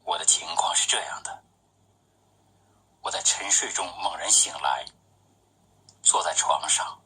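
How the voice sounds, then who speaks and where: A man reading his own poetry as a literary recitation, with measured, deliberate phrasing. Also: low-pitched, pushed and bright, slightly rough, whispered, low-quality recording.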